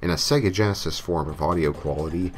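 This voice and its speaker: A man's voice: low voice, fast talker. A man narrating a scripted comic horror story in a theatrical way, with emphatic delivery. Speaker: creepypasta narrator. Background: music.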